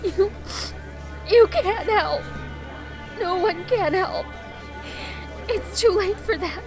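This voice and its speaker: A woman acting a distraught, guilt-ridden young costumed heroine in an audio drama, crying and halting in her despair. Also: very high voice. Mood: anguished despair. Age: young adult. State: crying, anguished. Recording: music behind the voice.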